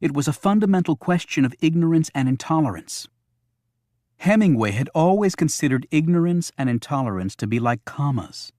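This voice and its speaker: A man's voice: mid-range voice, a little mellow, dry recording, clean studio sound. A man reading a thriller audiobook as scripted narration.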